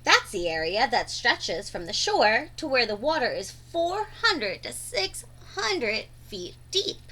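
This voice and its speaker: Woman reading as an eager, high-voiced eccentric schoolteacher. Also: sing-song, slow, bright, forceful tone, slightly rough, exaggerated voice. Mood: instructive. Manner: instructive, enthusiastic explaining.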